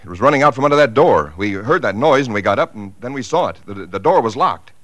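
A man acting an earnest, shaken American husband in a 1940s radio drama, formal when narrating and halting when he speaks. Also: mid-range voice, expressive, lightly acted. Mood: anxious, insistent. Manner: formal in narration, stammering in dialogue. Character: shaken, earnest husband recounting eerie events.

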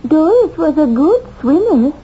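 A woman's voice: sits high, sing-song, measured pace. An actress playing an elderly, dithery and trusting mother in a 1970s radio drama, speaking in a hesitant, fluttery way. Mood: vague, wistful. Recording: muffled, hissy old radio recording.

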